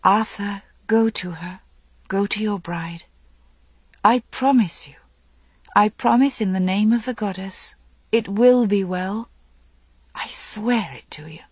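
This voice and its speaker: A woman's voice: mid-range voice, slow pace, long pauses, slightly rough, no echo, voice pushed up. A woman voicing a fierce, solemn priestess with an intense, commanding manner in an audiobook tale. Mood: urgent, reassuring. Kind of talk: dramatic audiobook storytelling.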